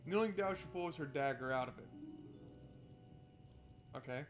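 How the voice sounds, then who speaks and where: Higher-pitched man, sarcastic game commentary. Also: moderate pace, soft background music. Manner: sarcastic, exasperated asides. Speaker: video game streamer. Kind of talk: let's play commentary with read-aloud narration. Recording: noticeable background noise.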